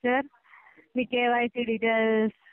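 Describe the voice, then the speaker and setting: A woman working as a loan recovery agent on a phone call, polite and persistent but halting. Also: a little high, level tone, clean tone, dry recording. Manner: polite, persistent, halting, repeatedly says sir. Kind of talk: debt collection phone call. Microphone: phone line. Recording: thin, band-limited phone call recording.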